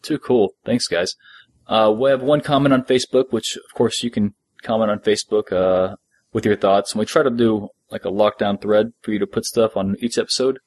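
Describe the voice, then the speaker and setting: A man's voice: lowish voice, normal speed, a little mellow, breathy edge, no echo. A middle-aged man chatting casually as a podcast co-host, laid-back and appreciative, sometimes reading out listener messages.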